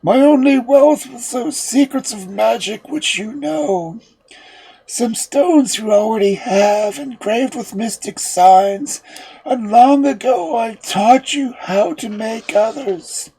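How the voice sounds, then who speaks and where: A storyteller voicing a dying old father, a solemn magician giving slow, halting, tearful counsel in a fairy tale. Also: medium pitch, dark tone, breathy edge, pitched above the performer's own voice, low-quality recording. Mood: frail, solemn.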